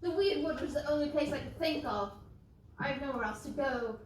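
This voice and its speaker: A woman's voice: high-pitched, moderate pace, slight background noise, natural voice. A woman playing a timid, earnest young village girl in a stage pantomime. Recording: very echoey hall from far off.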